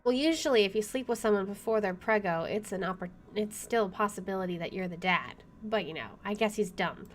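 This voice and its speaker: A woman's voice: medium pitch, a little fast, hardly any pauses, a little hiss or hum. A woman game streamer doing a visual novel playthrough, moving between reading narration and casual commentary.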